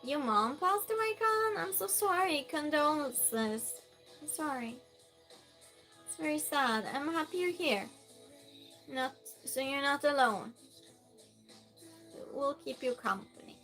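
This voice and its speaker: A woman's voice: high voice, animated, takes it slowly, pauses often, bright, forceful tone, soft background music. A woman with a Norwegian accent, a native Norwegian speaker, chatting casually on a live stream, reading viewer messages aloud with plenty of fillers and restarts.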